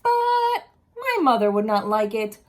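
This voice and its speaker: A woman reading a children's picture book aloud expressively, with casual asides and questions for young listeners. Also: fairly high pitch. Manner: animated, deliberate, asks the listeners questions.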